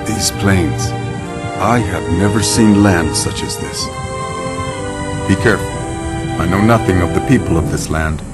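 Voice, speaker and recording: Deep, solemn, stoic warrior. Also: male voice. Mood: grave, accepting. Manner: measured, formal, without contractions. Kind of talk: video game cutscene acting. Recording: music behind the voice.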